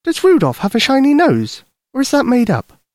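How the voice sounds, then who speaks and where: A man's voice: very high-pitched, wildly swooping pitch, normal speed, a little mellow, dry recording, studio quality, pitched above the performer's own voice, full character voice. A man voicing a sweet, eager little girl in a bedtime story, earnest and full of childlike questions. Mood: happy, affectionate.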